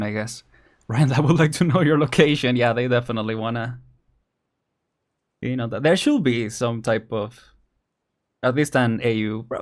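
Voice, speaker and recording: A man giving casual, rambling, chuckle-prone live commentary as he streams a video game. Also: mid-range voice. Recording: game music behind.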